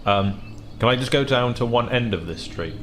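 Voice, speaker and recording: A man's voice: mid-range voice, animated, normal speed, fairly bright tone. A man chatting casually as a tabletop game player, thinking aloud as he goes. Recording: clearly noisy room ambience.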